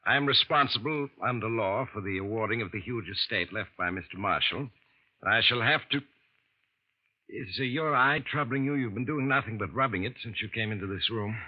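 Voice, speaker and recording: An actor playing a suspicious older estate lawyer in a 1940s radio drama, formal and probing, on a dull old broadcast recording. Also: male voice, fairly low pitch, a little fast, lightly acted.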